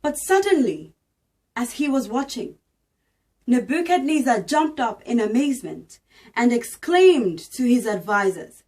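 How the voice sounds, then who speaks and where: Slow, theatrical high-voiced woman narrator. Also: very animated, a little mellow, recorded from a distance. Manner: theatrical, emphatic, deliberate. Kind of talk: dramatic Bible reading.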